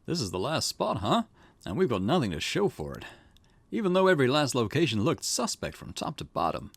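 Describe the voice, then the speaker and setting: A man voicing an excitable junior police detective, a reactive and casual sidekick in a mystery game. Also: mid-range voice, a little fast, vocal fry, dry recording, exaggerated voice. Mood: uneasy.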